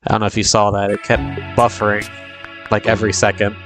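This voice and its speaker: A man's voice: fairly low pitch, monotone, fast, over music. A young man with a sniffly, congested voice from a cold, chatting casually as a live-stream host in a rambling way full of fillers and restarts.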